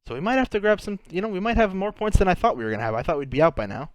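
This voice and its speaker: A man's voice: high voice, lively intonation, very fast, clean tone, no room sound. Chatty young man with a sore throat. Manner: chatty, thinking aloud, trailing restarts. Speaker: gaming channel host. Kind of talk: casual gameplay commentary.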